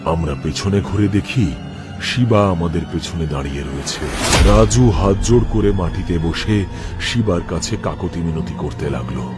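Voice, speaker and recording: A man's voice: deep voice, animated, normal speed, loud music behind the voice. A man reading scripted horror cartoon narration in the first person, in a dramatic, suspenseful manner.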